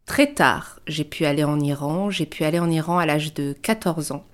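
A woman in her early thirties giving a reflective personal account, pausing often as she searches for her words. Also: deep voice. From France. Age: early 30s. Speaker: dancer and choreographic artist. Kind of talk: reflective personal interview narration.

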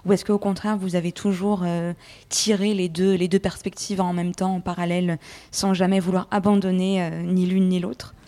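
A woman's voice: fairly low pitch, level tone, moderate pace, warm tone. A woman moderating a panel discussion and putting questions to her guests.

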